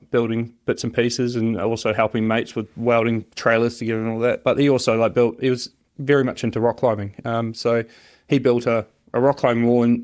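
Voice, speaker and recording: A man's voice: mid-range voice, a little mellow. New Zealand man telling his story, hesitantly. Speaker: interview guest, job shop machinist. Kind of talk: casual personal storytelling interview.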